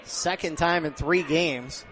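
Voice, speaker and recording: A man's voice: fairly high pitch, slow, fairly bright tone. A man doing live play-by-play commentary of a football game as a radio announcer, close on the mic at an outdoor stadium. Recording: clear outdoor stadium background noise.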